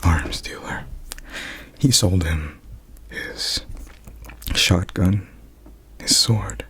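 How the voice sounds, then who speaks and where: A young man whispering ASMR rambles and improvised stories in a hushed, playful way with drawn-out phrasing. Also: low-pitched, breathy edge. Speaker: ASMR video creator.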